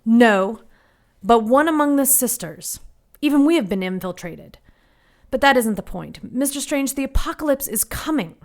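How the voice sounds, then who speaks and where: Stern, higher-pitched Mother Superior. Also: expressive, normal speed. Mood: zealous, grave. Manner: grave, formal, insistent. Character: stern, zealous Mother Superior with grave authority. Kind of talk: audio drama dialogue.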